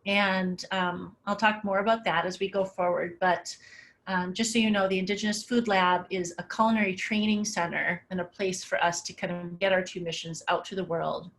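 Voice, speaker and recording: A woman's voice: fairly low pitch, steady tone, moderate pace, fairly bright tone. A middle-aged woman giving a webinar talk over slides, in a casual, conversational way with many filler words. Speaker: webinar presenter.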